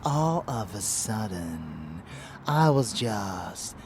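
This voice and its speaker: Arrogant male vampire lover, ASMR roleplay. Extremely unhurried, theatrical, possessive delivery over clear background noise. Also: on the low side, very animated, full character voice. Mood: smug, seductive. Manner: theatrical, teasing, breaking into whispers. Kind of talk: intimate ASMR audio roleplay.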